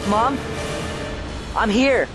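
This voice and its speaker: A man plays a troubled son possessed by something inside him, in a high-pitched voice. The delivery is intense audio drama acting, with prominent music playing underneath. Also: very slow, exaggerated voice. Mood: resigned, weary. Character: troubled possessed son, menacing then resigned.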